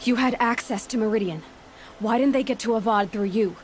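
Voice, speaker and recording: An actress playing a determined, guarded young huntress in a video game, asking blunt and direct questions in terse phrases. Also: female voice, on the high side, fairly quick, slight background noise, natural voice. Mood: insistent. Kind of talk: dramatic video game dialogue.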